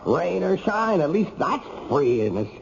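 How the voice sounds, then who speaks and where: A dull, faintly noisy old radio drama recording. a bitter ex-con in his thirties with a gravelly voice. He is agitated and desperate, pouring out his misery. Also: sits high, very animated, a little slow, exaggerated voice. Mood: despairing. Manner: agitated, emotional, self-pitying. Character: bitter, desperate ex-con night watchman, thirty-five, wrongly accused.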